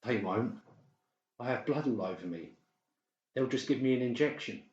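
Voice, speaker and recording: A man's voice: medium pitch, expressive, normal speed, a little mellow, voice pushed up, close to an everyday voice. A man playing a troubled, resigned young male patient in a dramatised audiobook. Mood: tense, bitter. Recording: muffled, echoey home recording.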